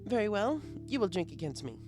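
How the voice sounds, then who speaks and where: A man voicing an imperious, excitable pony princess in a story reading, with commanding, archaic royal speech. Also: high-pitched, brisk, soft background music, voice pushed up.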